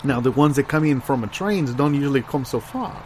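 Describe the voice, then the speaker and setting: A man playing a folksy small-town local who reminisces earnestly and haltingly in an improvised absurd comedy sketch. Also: medium pitch, animated, a little mellow, a little hiss or hum, close to an everyday voice. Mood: musing, halting.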